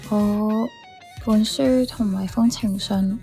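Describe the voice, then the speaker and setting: A woman plays a shy schoolgirl in a radio drama. She speaks gently and hesitantly in a mellow, mid-pitched voice, with light guitar music behind. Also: steady tone, slow pace. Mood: hesitant, tender. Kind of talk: youth radio drama acting.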